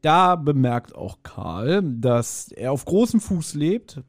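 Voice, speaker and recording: A man's voice: on the high side, big pitch swings, slow pace, background noise. A man chatting casually as a podcast co-host, recounting things in a joking way and often chuckling. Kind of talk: casual chat, recounting and discussing.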